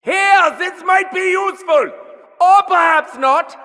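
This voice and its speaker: An actor with a German accent playing a manic, bloodthirsty male mad scientist shouting gleeful taunts while fighting zombies in a video game. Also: very high voice, slow pace, gravelly, in a large hall, exaggerated voice. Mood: cackling. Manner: shrieking gleeful battle taunts. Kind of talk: video game combat voice lines.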